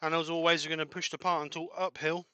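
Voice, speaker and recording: A man's voice: a little high, flat delivery, fast, sharp tone, lo-fi sound, slight background noise. A man giving a live woodturning demonstration in his workshop, explaining each step as he works and sometimes trailing off mid-sentence. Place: home workshop.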